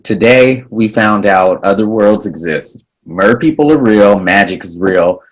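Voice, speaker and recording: A man voices an anxious teenage sorcerer, dry and sardonic even while worried. He sounds close and plain, like a table-read mic. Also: fairly low pitch, a little slow, poor audio.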